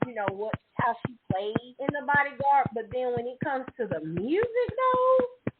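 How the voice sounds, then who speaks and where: Lively woman host on a noisy phone line. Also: high-pitched, moderate pace. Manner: lively, uses slang. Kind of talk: casual chat.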